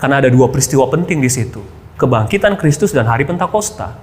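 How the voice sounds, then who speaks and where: A man's voice: mid-range voice, expressive, fast, a little mellow. A male pastor preaching a sermon in a church hall, his voice rising and falling widely from one phrase to the next. He keeps turning to the congregation with rhetorical questions. A long reverb trails every phrase. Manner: addresses the congregation constantly, asks rhetorical questions.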